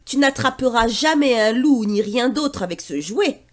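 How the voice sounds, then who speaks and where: A woman's voice: a little high, lively intonation, normal speed, natural voice. A woman voicing a mocking little bird in a storybook, scolding and ironic.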